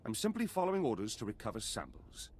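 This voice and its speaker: An actor playing a courteous but menacing imperial military officer in a video game, smug and condescending. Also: male voice, lowish voice, wildly swooping pitch, fast talker, noisy background. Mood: coolly threatening. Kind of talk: video game villain acting.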